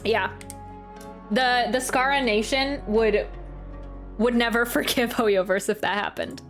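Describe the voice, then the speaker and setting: Gal doing game reaction commentary, higher voice, slangy n playful, faint music in back. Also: female voice, fairly even intonation, measured pace, a bit bright. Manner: playful, slangy, musing aloud. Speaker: reaction video host.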